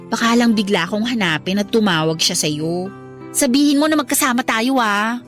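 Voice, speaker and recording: A woman plays a shy, naive young woman with a high, lively voice that swoops up when flustered. Soft music runs behind her in this radio drama. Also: moderate pace, lightly acted. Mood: pleading. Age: young adult. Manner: earnest, flustered, then indignant. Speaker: heroine Abby.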